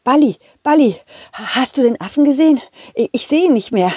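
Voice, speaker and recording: Eager, loyal puppet dog for kids' audio story, played by a woman: high, very animated, slightly husky. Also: moderate pace, no echo, clean studio sound. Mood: excited. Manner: excited, repeats words. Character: eager, loyal, confident talking puppet dog, detective helper. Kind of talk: children's audio story acting.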